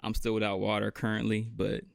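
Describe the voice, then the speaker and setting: A man's voice: fairly low pitch, completely flat delivery, fairly quick, gravelly, no echo, studio quality. A man hosting a casual podcast interview, laid-back and slangy. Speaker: podcast host. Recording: dull lo-fi audio.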